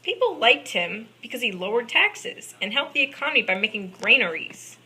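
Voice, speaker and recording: A woman's voice: a little high, big pitch swings, normal speed, pushed and bright. A woman playing a patient puppet history teacher, delivering a scripted lesson to an unruly class in an amateur show. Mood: instructive. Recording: faint background noise, amateur recording.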